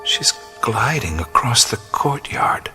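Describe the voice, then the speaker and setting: An actor in a radio play voicing an adventurer who narrates a dreamlike vision in the first person, hushed, awed and often whispering. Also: male voice, mid-range voice, animated, slow pace, full character voice. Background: music.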